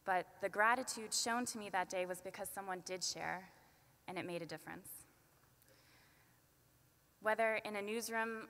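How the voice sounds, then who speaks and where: A young woman in her twenties giving a commencement speech at a podium, earnest and measured with light self-deprecating asides. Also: on the low side, pushed and bright. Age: 20s. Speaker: student commencement speaker. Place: large arena at a podium. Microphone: podium microphone. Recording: long arena reverb.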